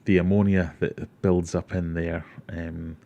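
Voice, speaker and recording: A man's voice: sits low, level tone, a little slow, dark tone, dull audio. A Scottish man from Glasgow hosting an aquarium livestream, casually answering chat questions and rambling with self-deprecating asides. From Glasgow, Scotland.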